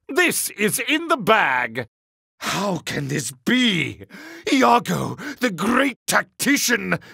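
A man's voice: a little high, gravelly, pushed and bright, no room sound, studio quality, full character voice. A male actor voicing a sneering, theatrical villainous tactician in video game battle lines, haughty and mocking, with a drawling delivery. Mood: smug, then dismayed.

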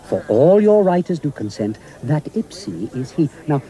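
A man's voice: fairly high pitch, big pitch swings, normal speed, dark tone. A man playing a pedantic restaurant patron who declaims mock-Shakespearean rhetoric in a radio comedy. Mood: pompous.

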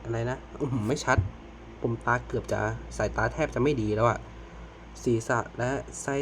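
A man's voice: mid-range voice, steady tone, normal speed, a bit bright, a little hiss or hum. A man giving casual, exclamatory reaction commentary to horror clips, swearing and muttering asides to viewers. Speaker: reaction video host.

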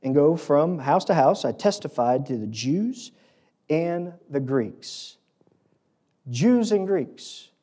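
Mellow American preacher, higher-pitched man. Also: expressive, slow pace. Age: middle-aged. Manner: emphatic, short repeated phrases for rhetorical effect. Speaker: pastor preaching. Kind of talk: sermon. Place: auditorium stage at a lectern, indoors. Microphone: lectern microphone.

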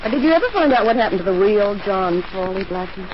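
A woman's voice: medium pitch, expressive, fast, natural voice. Coy young woman played by an actress, muffled radio. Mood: cooperative. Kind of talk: 1940s radio acting. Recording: muffled, noisy 1940s radio recording.

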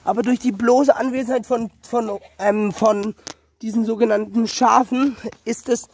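A young man with a cold and a slightly hoarse voice, rambling and correcting himself as he talks viewers through his farmyard on a handheld phone. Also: very high-pitched, steady tone, moderate pace, darkish tone. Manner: rambling, self-correcting, addresses viewers directly. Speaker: small-animal farm vlogger. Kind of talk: casual walk-around vlog. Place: outdoors on a small farmyard. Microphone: handheld phone camera.

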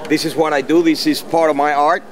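A man's voice: a little high, flat delivery, a little fast, very noisy. A middle-aged man talking proudly about his own custom work at an outdoor car show, often adding "you know". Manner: proud, self-promoting, says "you know". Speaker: custom car builder and painter. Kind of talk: casual interview. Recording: outdoor camera audio with crowd chatter.

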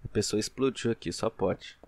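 A man's voice: fairly low pitch, normal speed, darkish tone. A young man with a Brazilian accent gives casual, slangy, joking commentary as host of a gaming video. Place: small room indoors. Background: game ambience.

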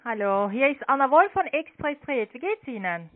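Casting: female non-native phone agent, high voice, sales-call delivery, dry tinny phone sound. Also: sing-song, moderate pace. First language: not German.

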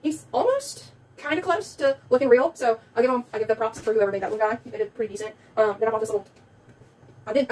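A young woman with a South Louisiana accent chatting casually through a haul video at home, rambling with lots of ums. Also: on the high side. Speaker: vlogger. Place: indoors at home, a small room with a table. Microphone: phone camera set back on the table. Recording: distant phone mic, faint room noise.

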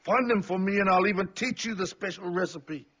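High, raspy, muddled old man. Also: brisk, fairly bright tone. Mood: confused, rambling. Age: older. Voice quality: husky, raspy. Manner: muddled, querulous, rambling. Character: forgetful cranky old man. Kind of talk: video game character acting.

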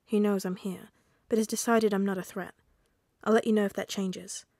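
A woman voicing a tense, guarded male officer in an audiobook story, speaking quietly and tersely. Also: mid-range voice, fairly even intonation, normal speed, dry recording, studio quality, natural voice. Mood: tense, weary.

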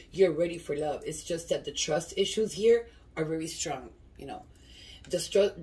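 A woman's voice: fairly low pitch, darkish tone, far from the mic. A woman giving a casual tarot reading. She sounds congested from a cold and talks loosely, swearing and often asking "you feel me?" She is recorded in a dim candlelit room. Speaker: tarot reader.

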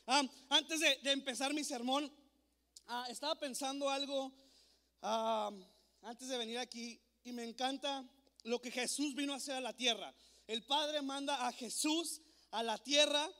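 A man, speaking in a strikingly high, bright voice that rings through a large auditorium's long reverb. He preaches to a youth crowd on a handheld mic, teasing and coaxing them with jokes. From born in Mexico, raised in Texas. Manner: playful, joking, coaxing the crowd. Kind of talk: lively youth sermon. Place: large church auditorium with stage. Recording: echoey hall recording.

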